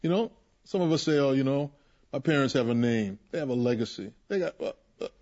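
A man's voice: medium pitch, expressive, brisk, a little mellow. A man leading a group Bible discussion, preaching emphatically and acting out the voices he quotes. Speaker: Bible study leader. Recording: muffled lo-fi recording.

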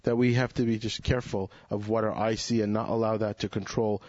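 A man's voice: fairly low pitch, flat delivery, brisk, darkish tone, no echo. A man offers an earnest, reflective comment to a Bible study group and keeps restarting his sentences as he thinks. The recording is dry and slightly dull, with little room sound and a muffled, lo-fi tone.

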